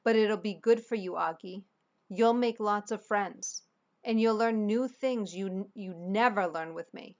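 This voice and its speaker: A gentle, encouraging storybook mother, read by a woman. She speaks at a middling pitch in a reassuring, persuasive way. The sound is slightly dull. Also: unhurried, natural voice.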